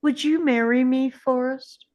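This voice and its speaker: A woman reads a candid young mother in a screenplay read. Her voice is fairly high and slow-paced. The delivery stays gentle, plain and a little hesitant. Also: fairly even intonation, muffled recording. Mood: hopeful. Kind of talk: informal screenplay table read.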